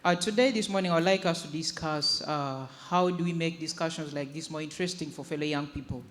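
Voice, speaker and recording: A woman's voice: low voice, a little fast, long reverb tail. A young woman with a Ugandan accent asking a spontaneous question from the audience. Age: young adult. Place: conference hall.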